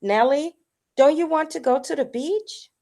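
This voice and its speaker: A woman's voice: sits high, unhurried, warm tone, pitched above the performer's own voice. A woman voicing a cheerful, encouraging young friend in a children's picture book.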